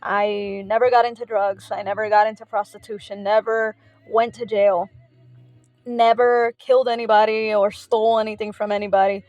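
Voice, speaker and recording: A woman speaking earnestly in a personal faith vlog, heartfelt and halting, often pausing on filler words. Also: medium pitch, level tone, a little mellow, no room sound, highs cut off, faint music behind. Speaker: faith vlogger.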